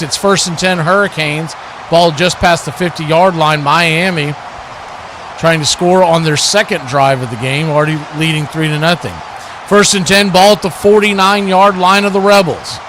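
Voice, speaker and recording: A man's voice: sits high, background noise. A man giving live American football play-by-play in clipped running description that lifts on the action. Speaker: play-by-play sports commentator, watch party host.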